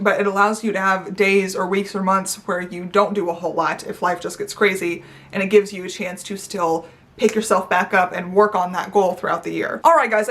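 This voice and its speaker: A woman's voice: mid-range voice, a bit bright. A young woman talking to her viewers in an unscripted, rambling vlog chat with playful asides. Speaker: YouTuber. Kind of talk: unscripted casual chat to camera.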